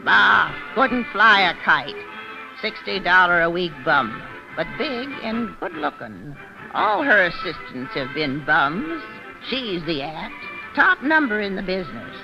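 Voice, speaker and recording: An actress playing a sly, gossipy circus fortune-teller in an old radio drama, wheedling and insinuating in folksy slang with a creaky voice. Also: female voice, fairly low pitch, sing-song, unhurried, full character voice. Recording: muffled old radio recording, music behind.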